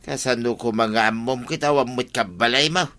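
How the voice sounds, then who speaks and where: Man acting a clear, bright-voiced grumbling villager. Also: medium pitch, moderate pace, lightly acted. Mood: needling. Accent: Ilocano. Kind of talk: radio comedy drama acting.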